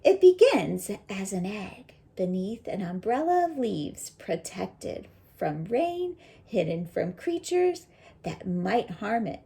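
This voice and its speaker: A woman's voice: medium pitch, gravelly, mellow. A woman teacher giving a read-aloud lesson to young children, explaining things simply and encouragingly with little check-in questions.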